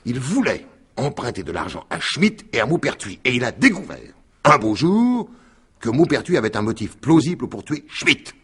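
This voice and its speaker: A man's voice: medium pitch, sing-song, normal speed, a bit bright, breathy edge, full character voice. An actor playing a shrewd, insistent detective in a French radio mystery in 1950s style, explaining his theory with emphatic deliberation. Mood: confident, revealing.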